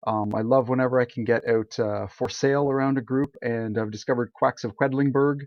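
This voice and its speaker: A man chats casually as an interview guest. His voice sits at an average pitch, captured very dry with a somewhat dull, lo-fi sound. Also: steady tone, a little fast, dry recording. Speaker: podcast guest, board game designer. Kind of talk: casual chat.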